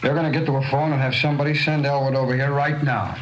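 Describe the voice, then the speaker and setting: An actor playing a desperate, bullying American criminal in 1950s radio crime drama, barking orders in rough slang. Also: male voice, medium pitch, flat delivery, fast talker, natural voice. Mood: impatient, threatening. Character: desperate, bullying criminal with a bomb, devoted to his brother. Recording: noisy, clicking old radio recording.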